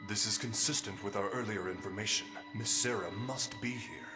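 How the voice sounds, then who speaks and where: An actor playing a stoic, analytical warrior in an anime-style game, formal and matter-of-fact. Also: male voice, fairly low pitch, normal speed, close to an everyday voice. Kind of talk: English-dubbed anime-style game acting. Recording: hall reverb, music behind.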